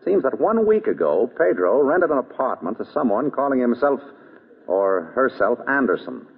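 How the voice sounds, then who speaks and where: Wry detective grilling suspects on old radio, husky, lively intonation, thin tinny sound. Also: male voice, medium pitch, moderate pace. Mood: probing. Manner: wry, probing, controlled. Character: shrewd, unruffled male detective questioning suspects. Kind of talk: 1930s-40s radio drama acting.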